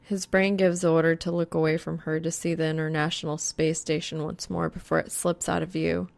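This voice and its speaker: Female audiobook narrator, lowish creaky voice, unhurried and measured, very close dry sound. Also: level tone, darkish tone, no echo. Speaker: fan fiction audiobook reader. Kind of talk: scripted narration of a romantic story.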